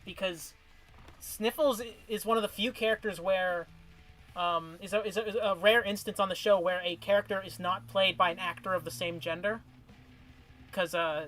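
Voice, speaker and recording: A man with a high, bright voice chats on a stream, ranking cartoon characters. He wanders into tangents and restarts his sentences often. His voice sounds a little worn from a long session. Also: poor audio. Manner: rambling, restarts, animated tangents. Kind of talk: casual chat on a stream.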